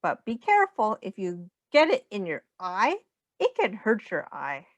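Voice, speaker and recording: A woman's voice: mid-range voice, huge pitch swings, a little slow, no room sound. A woman reading a children's picture book aloud in a sing-song way, as a teacher speaking simply to young children.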